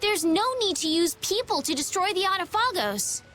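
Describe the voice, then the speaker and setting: A woman's voice: very high-pitched, animated, normal speed, fairly bright tone, faint music behind. A woman playing an earnest, idealistic and polite young noblewoman in a video game. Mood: pleading, earnest. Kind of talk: English video game voice acting.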